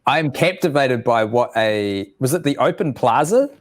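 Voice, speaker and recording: A man's voice: medium pitch, animated, moderate pace. A New man in his thirties, a guest on a comedy talk show who trades playful, dry jokes. He talks casually over a video call. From New Zealand. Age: 30s.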